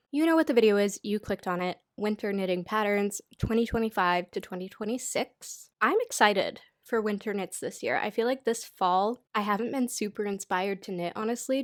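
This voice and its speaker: A woman's voice: medium pitch, dry recording, clean studio sound. A young American woman in her twenties, badly congested, chatting casually and self-deprecatingly as she runs through her picks for the season. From United States. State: congested, stuffy-nosed. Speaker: knitting YouTuber. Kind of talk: casual chatty pattern roundup.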